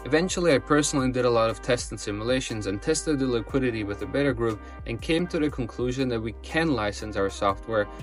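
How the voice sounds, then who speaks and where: Young man pitching over soft music. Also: quick pace, hardly any pauses. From Austria. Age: 20s. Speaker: company co-founder. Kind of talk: scripted promotional monologue.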